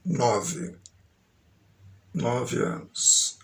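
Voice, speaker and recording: A man's voice: mid-range voice, fairly even intonation, extremely slow, slight background noise. A middle-aged man from Brazil with a São Paulo accent, talking in a grandiose, aggrieved monologue that meanders at an uneven pace. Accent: São Paulo Brazilian Portuguese. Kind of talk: rambling monologue to camera.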